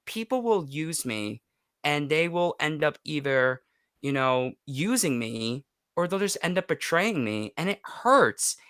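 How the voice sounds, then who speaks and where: A man in his twenties with a smooth, forceful voice, recorded close and dry. He speaks as a guest in a candid chat, emotional and emphatic, often repeating a phrase to drive it home. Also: a little high, very animated, a little slow, no room sound. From United States. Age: 20s. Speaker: podcast guest, YouTuber and voice actor. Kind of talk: candid personal interview chat.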